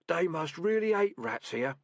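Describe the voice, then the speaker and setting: A man's voice: a little high, very animated, a little slow, no echo, clean studio sound, pitched above the performer's own voice, heavily acted. A man voicing a nervous young male rat who serves as a deputy and speaks earnestly. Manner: anxious, earnest.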